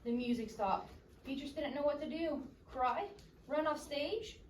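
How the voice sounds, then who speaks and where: A woman narrates a picture book to children in an expressive, teacher-like way. Her voice is fairly high and comes from a little way off, with room echo and faint noise around it. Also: measured pace. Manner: expressive, questioning teacher-like delivery. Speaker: read-aloud storyteller. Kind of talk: interactive storybook narration to children. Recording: distant echoey room with noise.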